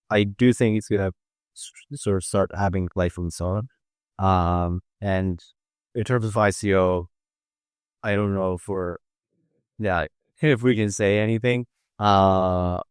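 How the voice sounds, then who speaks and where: Very deep, smooth-voiced man. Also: no room sound, clean studio sound. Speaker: company representative guest. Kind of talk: informal interview answers.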